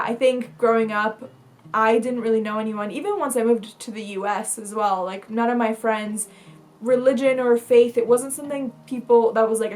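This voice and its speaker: A young woman from Bulgaria, a lifestyle vlogger talking casually to camera, chatty, with lots of fillers and restarts. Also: fairly high pitch.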